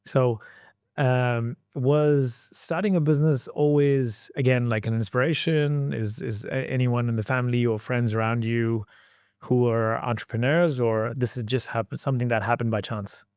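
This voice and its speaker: Interviewer, casual questions, quick encouraging bits, mid pitch, dry sound. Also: steady tone, a little mellow, smooth, no room sound. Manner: brief encouraging backchannels. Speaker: interviewer and host.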